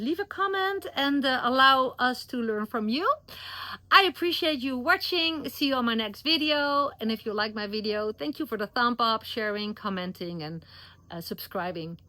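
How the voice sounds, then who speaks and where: A woman's voice: a little high, sharp tone. A middle-aged woman from the Netherlands, a native Dutch speaker with a Dutch accent, giving earnest, encouraging advice in a casual vlog with loose non-native phrasing. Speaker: expat vlogger.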